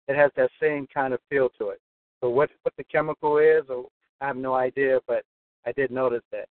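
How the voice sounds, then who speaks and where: A man's voice: medium pitch, no echo. A man calling in from his car while driving to work, rambling with many restarts as he asks his questions. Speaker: caller. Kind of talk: call-in question. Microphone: phone. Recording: phone line with faint noise.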